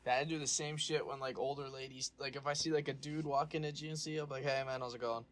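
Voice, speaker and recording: An energetic young man rambling in casual chat, stringing his thoughts together with many fillers. His pitch is ordinary for a man, and clear background noise sits under his voice. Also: fast. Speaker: podcast co-host.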